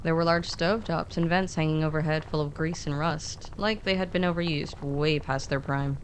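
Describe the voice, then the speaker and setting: A woman's voice: sits low, steady tone, moderate pace, slight background noise. A woman co-narrating a fiction podcast, telling a scripted story in short, descriptive, conversational phrases.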